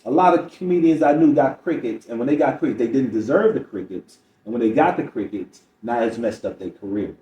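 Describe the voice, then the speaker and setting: A man's voice: mid-range voice, animated, a little fast, hardly any pauses, lo-fi sound. A middle-aged man speaking candidly as a comedian guest on a podcast, telling stories and quoting people as he goes. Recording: roomy echo, distant mic, faint noise.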